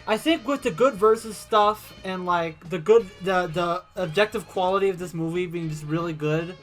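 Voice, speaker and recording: A man co-hosting a relaxed movie chat, talking in loose, restarting bursts and breaking into giggles often. His voice comes across bright and forward, with faint music running underneath. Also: high-pitched, moderate pace. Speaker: podcast co-host. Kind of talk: casual chat.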